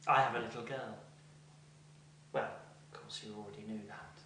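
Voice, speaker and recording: A man's voice: fairly low pitch, fairly even intonation, moderate pace, pushed and bright, slight background noise. Man acting a creepy, brooding character, echoey room. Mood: sinister, hushed. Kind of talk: dramatic monologue. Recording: echoey room, distant mic.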